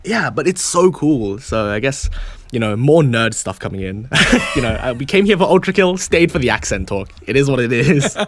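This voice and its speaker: A man's voice: mid-range voice, sing-song, moderate pace. A young man with a mild Australian accent, a podcast guest chatting casually, often laughing as he rambles.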